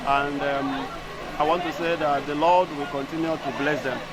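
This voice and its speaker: A man giving halting remarks as an interviewee at an outdoor event. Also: on the high side, steady tone. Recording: loud crowd and outdoor street noise.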